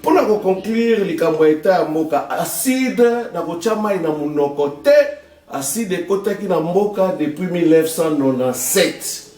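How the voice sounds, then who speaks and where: A man from Congo lays out his political views like a commentator, leaning on proverbs and speaking to the listener as "maman". His voice sits high for a man. The recording is roomy, and he sounds set back from the microphone. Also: expressive, normal speed, darkish tone, distant mic. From Congo (DRC). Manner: explanatory, uses proverbs, addresses listener as maman. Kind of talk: political talk show commentary.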